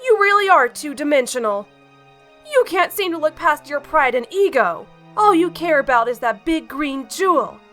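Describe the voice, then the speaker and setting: Exasperated bat woman played by an actress: high, expressive, frank, reproachful, audio drama. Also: normal speed, faint music behind. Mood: hurt. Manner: reproachful, emphatic. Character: frank, exasperated adult female bat, secretly caring.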